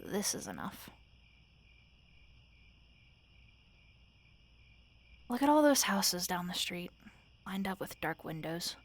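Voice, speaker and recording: A woman playing a tired, wry, sleepless roommate in a soft-spoken audio roleplay, talking casually and haltingly with fond, dry teasing. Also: on the low side, sing-song, no echo. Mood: weary, subdued. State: sleepy, weary.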